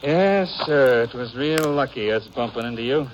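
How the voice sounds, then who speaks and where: An actor playing an eager young cowboy in a 1950s radio western, a smooth talker with a folksy, hesitant American Western drawl. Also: male voice, medium pitch, moderate pace, lightly acted. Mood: insistent. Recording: muffled, noisy 1950s radio recording.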